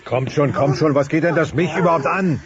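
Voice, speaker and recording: A man's voice: on the high side, fairly quick, over a noisy crowd, lightly acted. A man voicing a grumpy, stubborn dinosaur father in a comic cartoon audio play, irritable and blustering. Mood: grumbling. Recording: muffled old cassette, faint noise.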